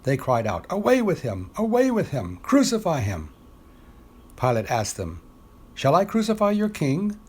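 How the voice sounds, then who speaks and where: A man reading scripture dramatically, with expressive emphasis on quoted speech. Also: a little high, a little slow, husky. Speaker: scripture reader.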